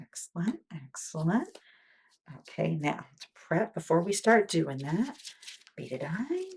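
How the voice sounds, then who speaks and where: A low-pitched woman with a husky, slightly gravelly voice plays a wound care nurse in an ASMR roleplay. She talks softly and patiently, explaining each step. Between lines she often drops to near-whispered "okay"s. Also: very animated, unhurried. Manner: soft-spoken, patient explanations with frequent "okay"s.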